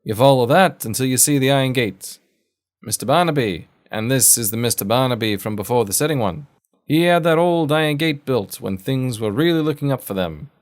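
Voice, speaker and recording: A man voicing a kindly, cryptic old man who gives folksy, unhurried advice in an audio drama. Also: mid-range voice, animated, pitched above the performer's own voice, close to an everyday voice. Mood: wise, advising. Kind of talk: audio fiction character voice.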